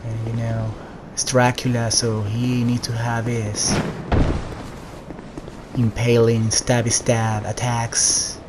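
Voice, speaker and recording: Male gamer, unhurried and monotone, laid-back casual commentary, clear background noise on the recording. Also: mid-range voice. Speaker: gamer commentating on own playthrough. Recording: noisy home gameplay recording.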